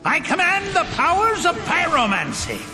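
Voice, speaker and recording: A man playing a boastful, excitable fire wizard in a fantasy video game. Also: very high voice, normal speed, music in the background, heavily acted. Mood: proud, blazing. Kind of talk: video game unit barks.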